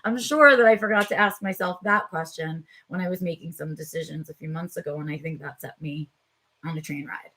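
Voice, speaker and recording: A woman hosting her own live vlog in a casual, chatty way, her voice a little raspy and tired, with frequent ums as she talks straight to her viewers. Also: sits low, fairly quick, fairly bright tone, slight background noise. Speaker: vlog host. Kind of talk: casual unscripted live chat.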